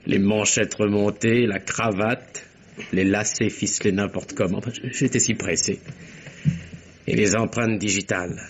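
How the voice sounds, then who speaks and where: An actor playing an articulate young secretary in a vintage French radio mystery, telling his account with theatrical, deliberate precision. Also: lowish voice, steady tone, a little hiss or hum, lightly acted. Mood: confiding, assured. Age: young adult. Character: composed, articulate young secretary explaining himself under suspicion. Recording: dull, muffled old radio recording.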